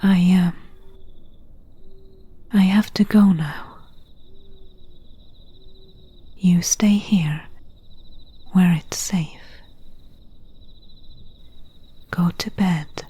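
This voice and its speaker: Woman playing gentle solemn friend, ASMR roleplay, breathy unvoiced whisper, extremely slow and deliberate, soft mellow tone. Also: fairly low pitch, heavily acted. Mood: gentle, reluctant. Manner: slow, hushed, deliberate delivery with pauses. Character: gentle, solemn friend warning of night spirits.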